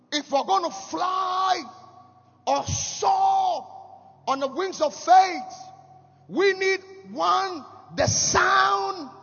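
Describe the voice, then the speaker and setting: A man with a Ghanaian accent preaching a sermon, shouting in sing-song, repeating rising phrases in quick bursts. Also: very high-pitched, creaky. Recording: dull lo-fi sound with long hall reverb.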